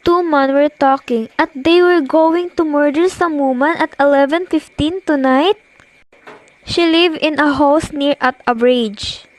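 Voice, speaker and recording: A woman's voice: high voice, a little slow, poor audio. A young woman playing an imperious, nervous married lady in an amateur radio play, demanding and impatient. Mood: agitated, pleading. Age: teenager to young adult. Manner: demanding, agitated, impatient, haughty. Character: imperious, nervous, indignant married woman reporting an overheard murder plot.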